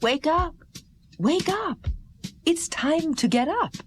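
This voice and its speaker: A woman's voice: high voice, animated, slow pace, soft background music. A woman voicing a caring but firm mother in a children's musical story, instructing carefully. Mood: calling.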